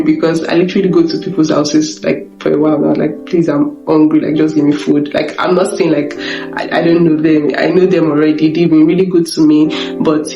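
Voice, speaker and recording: A young Nigerian woman vlogging about her recent illness, her voice weak and off from being sick. She talks haltingly and keeps repeating words and restarting. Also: low-pitched, muffled recording. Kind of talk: personal confessional vlog. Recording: echoey room with guitar music behind.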